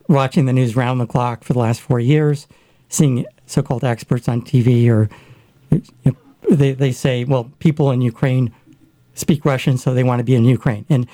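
A man chatting in a casual discussion, his speech halting and full of restarts. His voice is dark and mellow, with a middle pitch. The recording is dry and close. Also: steady tone, no echo. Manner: halting, many fillers and restarts. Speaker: guest discussing Ukraine.

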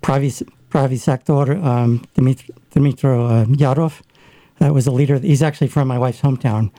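A man in a casual discussion, talking haltingly with many fillers and restarts. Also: medium pitch, fairly even intonation, dark tone, no echo. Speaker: guest discussing Ukraine.

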